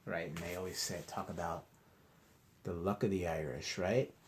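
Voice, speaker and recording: A man reading a storybook aloud to children like a teacher, expressively, pausing to ask questions and explain. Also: low voice, fairly even intonation, background noise. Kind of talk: interactive storybook read-aloud.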